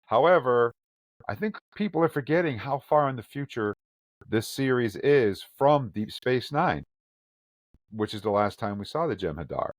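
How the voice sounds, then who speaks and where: A man's voice: mid-range voice, expressive, moderate pace, no echo. A man hosting a fan podcast, chatting casually and reading news items aloud. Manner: chatty, reads articles aloud with quotes. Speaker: podcast host.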